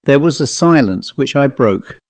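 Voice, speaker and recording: A man reading a ghost story as scripted narration, in a measured and deliberate way. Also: medium pitch, lively intonation, no room sound. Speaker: story reader.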